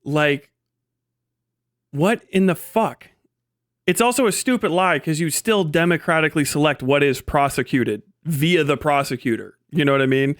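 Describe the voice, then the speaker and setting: A man with a fairly high-pitched voice comments casually on a livestream. He sounds exasperated and incredulous, arguing his point with plenty of swearing. The sound is very dry, with no room on it. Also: fairly bright tone, dry recording. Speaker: livestream host reviewing own debate.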